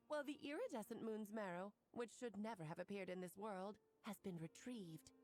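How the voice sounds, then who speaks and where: Game role: playful, knowing motherly sorceress, bright-spirited and theatrical, slightly high voice with very lively intonation. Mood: explanatory. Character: breezy, self-assured motherly mage, playful and knowing. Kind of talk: video game voice acting, expository dialogue.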